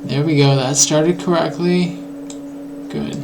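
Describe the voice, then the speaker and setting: Slow, hoarse man musing over code. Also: a little high, fairly even intonation. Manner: musing, drifts into mock-grand asides. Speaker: programmer. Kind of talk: thinking aloud while coding. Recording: clear background noise.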